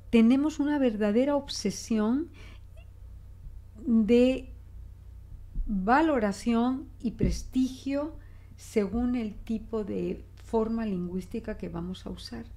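An older woman from Spain with a Spanish accent softened by Mexican Spanish, a linguist talking expressively in a studio interview at a desk microphone. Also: fairly high pitch, slow. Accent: Spanish from Spain, adapted toward Mexican Spanish. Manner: expressive, reflective, with frequent restarts and gestures. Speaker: guest linguist. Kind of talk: conversational interview. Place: small studio office, indoors.

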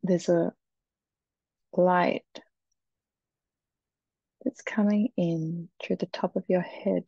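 A woman leading a guided meditation in a soft, breathy, soothing voice, with short phrases and long pauses. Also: on the low side, fairly even intonation, no room sound. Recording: dull, muffled, dry recording.

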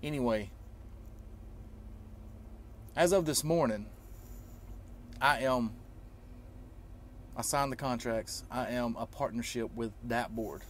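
A man's voice: medium pitch, slow pace, pauses often, a little hiss or hum. A man in his thirties talking informally to vlog viewers, explaining emphatically and repeating himself to drive points home. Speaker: trucker vlogger.

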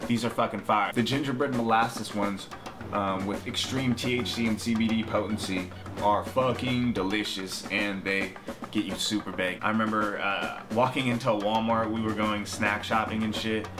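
Low-voiced laid-back young American man, guitar behind. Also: fairly even intonation, moderate pace. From Hawaii and Washington state, United States. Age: 20s. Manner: laid-back, slangy, swearing freely. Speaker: vlog host. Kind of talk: casual chat to camera, answering viewer questions. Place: small room at home, indoors.

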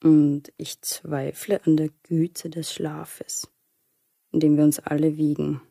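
A grave female reciter in a radio play. Her low, mellow voice moves very slowly through solemn verse, with measured melancholy. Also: level tone, vocal fry, breathy edge, no echo, clean studio sound, exaggerated voice.

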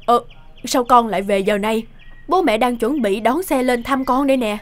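Woman playing a high-pitched, fretful overprotective mother. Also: normal speed, natural voice. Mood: shocked, scolding. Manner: fretful, insistent. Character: overprotective middle-aged mother, anxious, domineering.

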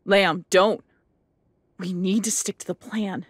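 A woman's voice: medium pitch, fairly even intonation, takes it slowly, no room sound. A woman playing an anxious, foul-mouthed, fiercely loyal woman in an audio drama. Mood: urgent, worried. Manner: urgent, sweary. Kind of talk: amateur audio roleplay drama.

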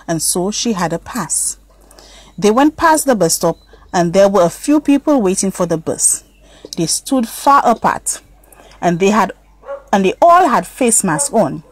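Woman reading a children's story, lively intonation. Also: medium pitch, measured pace, no room sound, poor audio. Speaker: storybook narrator. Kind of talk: scripted children's story narration.

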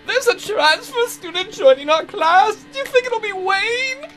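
An over-excited American schoolteacher for a visual novel, acted out by a man. The voice is pushed high and swings up and down in sing-song announcements, with faint music behind. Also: a little slow. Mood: over-excited, announcing. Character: cheery, over-excited schoolteacher addressing a class.

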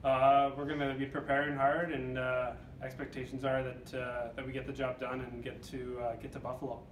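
A man's voice: mid-range voice, completely flat delivery, moderate pace, slight background noise. A young hockey captain in his twenties giving a plain, matter-of-fact postgame interview with many fillers, recorded in an indoor press room on a distant camera mic. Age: 20s. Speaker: team captain, hockey player. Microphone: far camera mic. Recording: echoey room, off-mic, crowd behind.

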